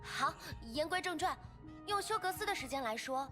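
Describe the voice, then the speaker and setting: A woman's voice: high-pitched, animated, moderate pace. A woman voicing a cute, chatty little creature girl in an anime-style video game, with music behind her.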